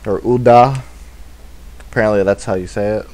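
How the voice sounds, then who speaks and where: A man's voice: fairly low pitch, moderate pace, a little mellow. A man giving casual, rambling gameplay commentary as a let's play host, with a self-deprecating manner.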